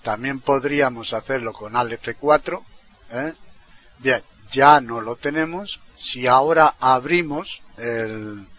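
A man with an accent from Spain giving an informal step-by-step software tutorial, explaining as he goes and checking in with little questioning tags. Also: medium pitch, slow pace. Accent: Spanish from Spain. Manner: explanatory, often ending phrases with a questioning tag. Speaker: tutorial presenter. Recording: dull, lo-fi computer microphone recording.

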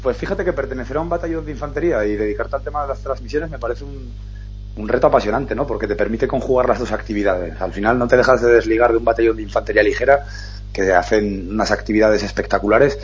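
A man hosting a radio talk show, explaining at length in an earnest, fast way. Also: mid-range voice, muffled recording, slight background noise. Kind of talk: casual radio chat.

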